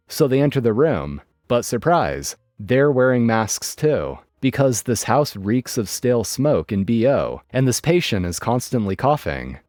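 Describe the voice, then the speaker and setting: A man narrating online stories in a lively, dry storytelling manner. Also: medium pitch, no echo.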